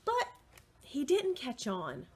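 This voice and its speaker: Female storyteller, middle-aged, for children's read-aloud. Highish, swooping pitch, expressive delivery, deliberate phrasing. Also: a little hiss or hum. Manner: expressive, slow and deliberate, with short asides to the listeners. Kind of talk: picture-book read-aloud for young children. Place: indoors, small room with bookshelves.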